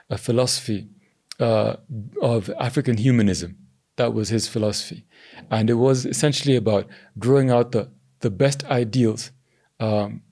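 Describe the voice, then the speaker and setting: Man from Zambia, low and gravelly, measured, podcast guest. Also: mellow. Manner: measured, hedging with "I think" and "you know". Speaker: podcast guest, governance strategist. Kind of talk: thoughtful interview answers.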